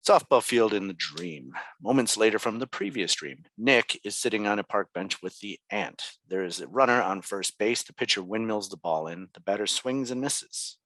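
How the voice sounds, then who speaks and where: Lowish, dry-sounding male narrator. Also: animated, normal speed, hardly any pauses, fairly bright tone, dry recording, rough recording. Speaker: narrator reading stage directions. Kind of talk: scripted narration at comedy table read.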